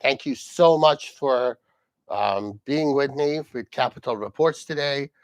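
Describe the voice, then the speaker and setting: An earnest man from Iran hosts a solo live commentary, his pitch sitting in an ordinary middle range. He restarts sentences and circles back to repeat key phrases. The sound is dry and close, with no room around it. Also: unhurried, no room sound. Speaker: host.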